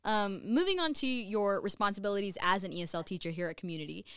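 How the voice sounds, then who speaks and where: A woman's voice: medium pitch, quick pace, a bit bright, clear, resonant tone, no echo. A woman asking questions as the interviewer in a conversational interview.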